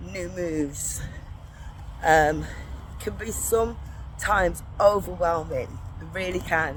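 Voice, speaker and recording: Unhurried woman vlogging on a morning walk, gruff voice, faint traffic hum. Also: on the low side, rough recording. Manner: soft-spoken, rambling, prayerful. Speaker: faith vlogger. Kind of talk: casual devotional walk-and-talk. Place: walking, from a lift to outdoors.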